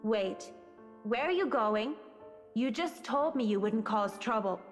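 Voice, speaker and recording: A woman's voice: on the high side, expressive, a little slow, in a large hall. A woman voicing a playful, caring schoolgirl with a girlish, coaxing manner in a visual novel. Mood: concerned. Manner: cajoling, girlish. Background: game music.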